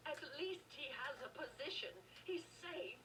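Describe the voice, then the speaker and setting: A woman's voice: high voice, expressive, moderate pace, sharp tone. An actress playing an anxious, pleading mother and protective wife in a video game. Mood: worried, pleading. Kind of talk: video game dialogue acting. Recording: distant, noisy game capture with ambience.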